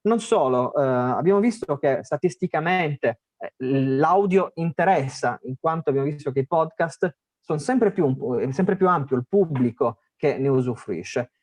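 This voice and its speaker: A man's voice: a little high, lively intonation, moderate pace, a little mellow, dry recording. A man with a Sardinian Italian accent presenting a radio festival, talking casually with many fillers and restarts. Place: remote online call.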